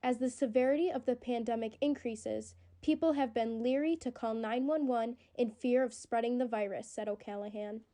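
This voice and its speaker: A woman's voice: a little high, steady tone, moderate pace, hardly any pauses, dark tone. A young woman delivering scripted news reports as a student television reporter. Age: young adult.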